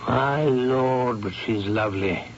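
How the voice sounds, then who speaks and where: An actor playing a painter's level-headed, admiring friend in a radio mystery drama, in a measured theatrical manner. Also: male voice, mid-range voice. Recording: muffled vintage radio broadcast, faint noise.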